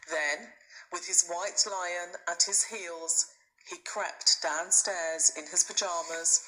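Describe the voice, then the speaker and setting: A woman reading a children's story aloud as a teacher would, in expressive scripted narration. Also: on the low side, takes it slowly, low-quality recording.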